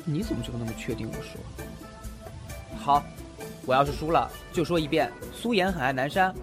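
A performer playing a cocky, playful young male fox spirit in a Chinese costume audio drama, brash and expressive. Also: medium pitch, fairly quick, lightly acted. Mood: playful, bored. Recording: loud music bed behind voice.

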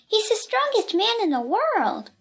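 Woman doing an excitable, very high child. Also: measured pace, creaky, exaggerated voice. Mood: earnest protest. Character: excitable young children, friends of the strong girl.

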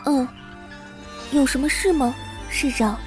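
A woman's voice: high-pitched, a little slow, darkish tone. A woman acting a haunted, anxious young woman who narrates her childhood trauma in a radio drama. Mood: polite, reserved. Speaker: voice actor and narrator. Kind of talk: first-person radio drama narration and dialogue. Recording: reverberant echo with music underneath. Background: music.

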